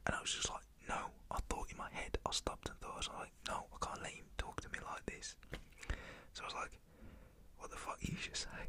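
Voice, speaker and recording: A young British man telling a personal story in a casual, slangy way, whispered in an ASMR style.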